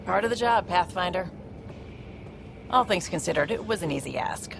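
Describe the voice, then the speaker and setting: A woman playing a brisk, confident, streetwise alien ship provisioner in a video game. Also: lowish voice, animated, vocal fry, background noise. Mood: proud, businesslike. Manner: brisk, matter-of-fact, streetwise. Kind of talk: video game cutscene acting.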